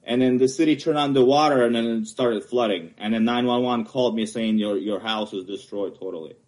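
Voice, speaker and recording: A man from Ukraine with a Ukrainian accent, English not his first language, haltingly explaining his property appeal at a hearing. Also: medium pitch, darkish tone, rough recording. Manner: halting, searching for words. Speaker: homeowner appealing a property assessment.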